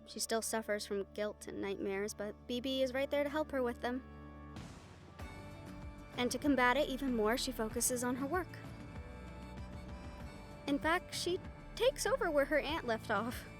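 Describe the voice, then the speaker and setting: A woman's voice: high-pitched, measured pace, long pauses, soft background music. A woman giving scripted story narration for an audio roleplay, as its storyteller. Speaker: storyteller and voice performer.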